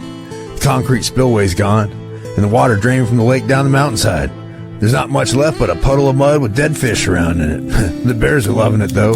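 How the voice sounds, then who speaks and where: A man playing a steady, rugged scout in an audio drama, speaking in a measured, matter-of-fact way. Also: on the low side, gravelly.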